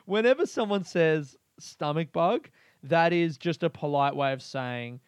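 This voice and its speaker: A man talking on his own podcast in a loose, crude comic ramble, cursing often and breaking into laughter. His voice sits a little high for a man and comes through smooth and clear. The sound is dry and close, with no room around it. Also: measured pace, no echo. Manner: animated, rambling storytelling. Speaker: podcast host. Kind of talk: casual comedic podcast monologue.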